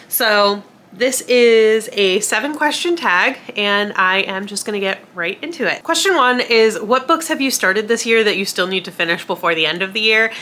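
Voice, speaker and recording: Sick girl vlogging about books, nasal and stuffy, bright voice, chatty w lots of likes and ums. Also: mid-range voice. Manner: chatty and self-deprecating, with frequent fillers and laughs. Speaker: book vlogger. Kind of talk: casual chat to camera.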